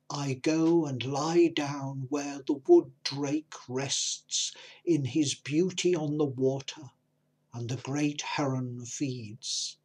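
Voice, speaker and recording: A man presenting and reading poetry in a measured manner, pausing between phrases. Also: fairly high pitch, darkish tone, slightly rough, rough recording. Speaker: compilation presenter and poetry reader.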